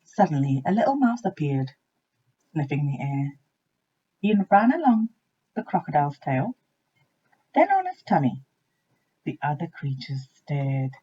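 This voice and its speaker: Woman, children's story narration, very lively intonation, husky tone, lowish pitch, slightly muffled recording. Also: a little slow, pauses often. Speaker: teacher reading a storybook aloud.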